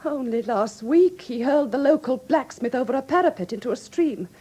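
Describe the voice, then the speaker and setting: A woman with an English received pronunciation accent playing a frightened, grieving young gentlewoman who speaks formally and earnestly in a classic radio drama. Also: high voice, expressive, fairly quick, husky. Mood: anxious, sorrowful. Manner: formal, earnest, precise storytelling.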